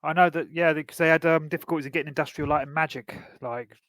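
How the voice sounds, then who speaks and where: Male co-host for a film podcast, fairly high voice, loose informal delivery, no room sound. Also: fairly even intonation, quick pace, fairly bright tone, no room sound. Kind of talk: casual film discussion.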